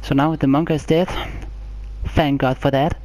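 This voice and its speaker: A person giving casual let's play commentary as a gaming channel host, in a halting, hesitant way. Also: mid-range voice, steady tone, a little mellow, dry recording, rough recording.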